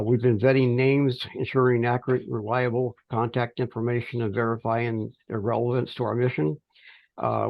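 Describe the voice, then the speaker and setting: Middle-aged man, gravelly fry, hesitant mid-phrase pauses, informal progress report, muffled dry call audio. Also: medium pitch, no echo. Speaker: amateur radio project volunteer. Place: remote teleconference call. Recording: dull, band-limited teleconference audio.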